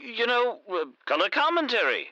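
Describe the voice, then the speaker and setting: A man's voice: extremely high pitch, sing-song, normal speed, bright, forceful tone, no room sound, lo-fi sound, exaggerated voice. An actor playing a pompous, easily flustered stage magician in his sixties, a former English teacher, in a comedy audio drama. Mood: eager, flustered. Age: 60s.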